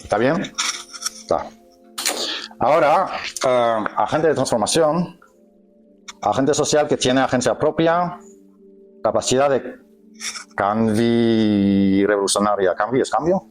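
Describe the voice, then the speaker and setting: A man's voice: medium pitch, slow pace, long pauses, faint music behind. A man lecturing a group in a language that is not his first, stopping often to search for words and correct himself. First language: not Spanish. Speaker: workshop speaker. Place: meeting hall with an audience.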